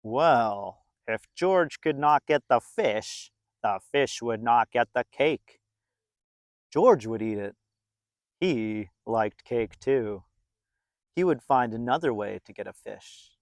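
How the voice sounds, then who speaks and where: Animated, slow-paced man reading a children's storybook. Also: mid-range voice, no room sound, studio quality. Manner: expressive, deliberate, drawn-out phrasing for young listeners. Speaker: storybook reader.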